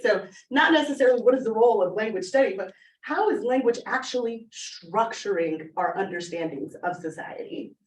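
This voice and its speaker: Woman lecturing in an echoey room. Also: on the high side. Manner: conversational, often checks in with the audience by asking "right?". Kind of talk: academic conference talk. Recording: echoey room, voice far from the mic.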